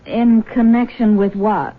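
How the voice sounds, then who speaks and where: A woman's voice: on the high side, flat delivery, measured pace, phone-quality sound, lightly acted. A woman in a 1970s radio drama playing a caring, firm and independent young daughter, earnest and direct. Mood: friendly, curious. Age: young adult. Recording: band-limited vintage radio broadcast.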